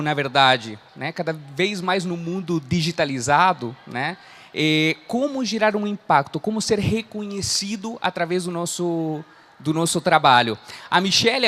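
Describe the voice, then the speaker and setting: A man's voice: sits high, a bit bright. A man in his thirties from Chile, speaking Portuguese with a Chilean Spanish accent, giving an earnest motivational talk as a coach. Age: 30s. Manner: earnest, frequent "né?" tags. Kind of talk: motivational talk to a live audience.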